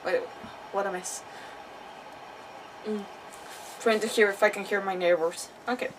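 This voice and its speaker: A woman's voice: medium pitch, fairly even intonation, measured pace, background noise. Chatty woman streamer, slightly distant mic. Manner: chatty, reacting aloud to the game. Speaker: game streamer. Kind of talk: casual livestream gameplay commentary. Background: faint game music.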